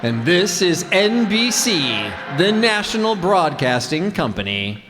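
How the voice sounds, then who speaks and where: A man playing an upbeat, emphatic 1940s radio announcer pitching products like a salesman, in an echoey hall. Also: sing-song, unhurried, music in the background. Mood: cheery sign-off. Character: upbeat male 1940s radio announcer selling car polish. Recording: echoey hall, stage performance.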